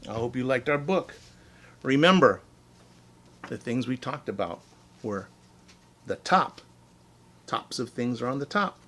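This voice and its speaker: A male teacher reads a children's story aloud. His voice is bright and crisp-edged, and he takes his time, phrasing things simply for young listeners. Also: mid-range voice, lively intonation. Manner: deliberate, explains simply to children.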